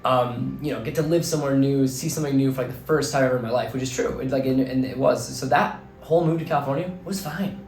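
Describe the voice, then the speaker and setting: A young man in his twenties from New Jersey, his voice slightly hoarse, talking through his thoughts in a casual, rambling way full of fillers. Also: medium pitch, fast talker, few pauses, darkish tone. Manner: rambling, filler-heavy, earnest. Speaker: vlogger and teacher. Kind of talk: casual reflective monologue. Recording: roomy echo, faint background music.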